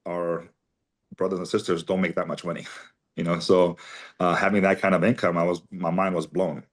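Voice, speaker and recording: A man's voice: low voice, level tone, normal speed. A man in his forties from Armenia, speaking as a guest in an interview and telling long personal stories in a chatty, conversational way. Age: 40s. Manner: chatty anecdotal storyteller, many fillers. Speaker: guest, company CEO.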